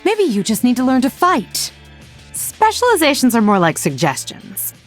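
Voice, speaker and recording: A woman's voice: a little high, wildly swooping pitch, normal speed, soft background music. A woman playing a sly, sardonic elf assassin in a video game, with a dry, teasing, cocky delivery. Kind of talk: video game hero voice lines.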